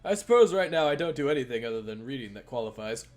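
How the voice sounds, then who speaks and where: A deadpan young man giving matter-of-fact advice, as a man reads him in his own voice. He sounds dry and unhurried. There is faint music behind. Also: mid-range voice, lightly acted. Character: deadpan young man protagonist, dryly lecturing.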